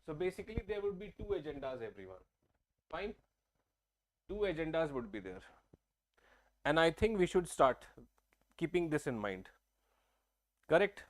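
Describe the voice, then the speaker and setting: A man's voice: fairly high pitch, very animated, normal speed, long pauses. Young Indian man teaching exam math. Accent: Indian English. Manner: chatty, repeats phrases, checks in with viewers by name. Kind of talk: live-streamed whiteboard lesson. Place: indoor studio at a large screen.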